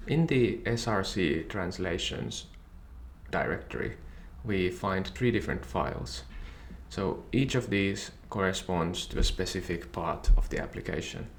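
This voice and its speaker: Finnish-native guy, deep gravelly voice, slow steady tutorial talk, bit of hiss. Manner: calm, methodical explaining. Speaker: software tutorial presenter. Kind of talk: screen-share software tutorial.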